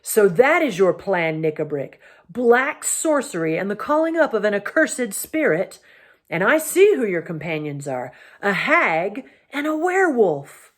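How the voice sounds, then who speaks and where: A woman's voice: on the high side, sing-song, measured pace, slightly rough, pitched above the performer's own voice, heavily acted. A bold young king from a fantasy tale, played by a woman reader. He challenges others with indignant force and a commanding, lifted voice. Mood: outraged.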